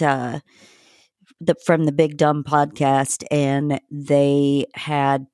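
A woman's voice: low-pitched, darkish tone, clear, resonant tone, dry recording, clean studio sound. A woman hosting a casual podcast, chatty and giggly, swearing now and then. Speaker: podcast host.

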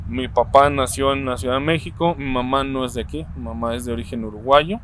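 A man in his thirties with a Mexican accent, thinking aloud and explaining ideas step by step, often pausing to find the word. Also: mid-range voice, a bit bright, a little hiss or hum. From Mexico City, Mexico. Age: 30s. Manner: thoughtful, explains step by step with frequent hesitations. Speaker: interviewee. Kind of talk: casual conversation.

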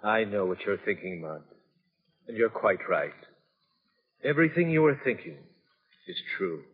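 An actor playing an earnest, steady prison officer in charge, speaking with measured authority in an old film drama. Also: male voice, on the low side, slightly rough. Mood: solemn. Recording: muffled old film soundtrack, long echo.

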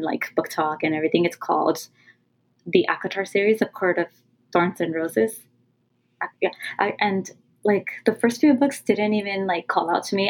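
A woman's voice: fairly low pitch, vocal fry, poor audio. A woman from California, a guest author who talks openly in casual interview style, with many ums and restarts as she searches for words. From California, USA.

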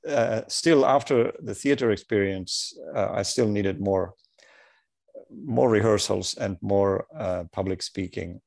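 A man in his sixties with a low, gravelly voice shares lessons from his life. He speaks in a measured, thoughtful way, pausing and hesitating often. He is heard over a remote audio call. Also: a little mellow. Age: 60s. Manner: measured, thoughtful, with many pauses and fillers. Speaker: engineer. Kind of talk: reflective conversation.

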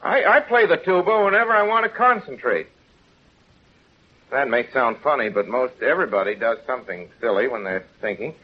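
A man's voice: medium pitch, lively intonation, brisk, breathy edge. Unassuming small-town everyman, plain-spoken, earnest, folksy delivery, dull 1930s radio sound. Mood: mild, earnest. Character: plain-spoken, guileless small-town man defending himself. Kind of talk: 1930s-40s radio drama acting. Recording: muffled, noisy old radio recording.

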